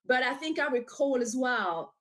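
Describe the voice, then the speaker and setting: A woman from DR Congo whose first language is French, talking about her writing as a podcast guest. She speaks in an animated, talkative way over a video call. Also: high voice, moderate pace. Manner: animated and talkative, with frequent fillers and laughs. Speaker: author and guest. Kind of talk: casual interview.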